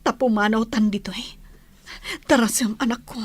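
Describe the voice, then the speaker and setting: Woman playing young mother, weary and troubled, higher-pitched voice, emotive sighs, radio drama. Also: steady tone, normal speed, natural voice. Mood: weary, urgent. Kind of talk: Philippine radio drama acting.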